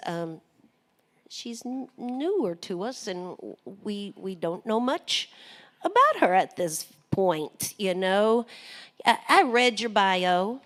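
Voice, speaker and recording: Folksy woman radio host. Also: medium pitch. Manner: joking, folksy, many fillers. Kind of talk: live radio interview hosting.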